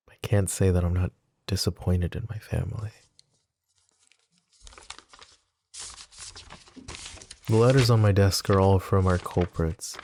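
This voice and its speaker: A man acting a composed, wry, protective mafia boss in an intimate roleplay audio drama, speaking in a measured, conversational way. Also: low-pitched, warm tone, no room sound, studio quality. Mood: weary, disappointed. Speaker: voice actor.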